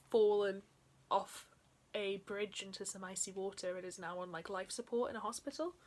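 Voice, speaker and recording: A young woman in her twenties, slightly croaky after being ill, chatting casually about books from a small bedroom, with frequent ums and soft trailing endings. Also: medium pitch. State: slightly croaky from a chest infection. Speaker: book vlogger. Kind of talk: casual book haul chat.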